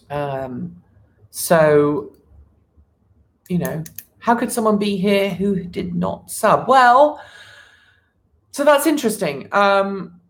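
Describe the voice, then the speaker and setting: Chatty, rambling live chat host with creaky voice. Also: mid-range voice. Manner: rambling, chatty, thinking aloud. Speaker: channel host. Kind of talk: casual unscripted live chat.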